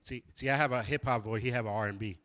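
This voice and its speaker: A man's voice: mid-range voice, quick pace, a bit bright. A man from New York hosting a casual talk show, chatting loosely, laughing often and repeating words as he goes. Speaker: host. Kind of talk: casual chat. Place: studio.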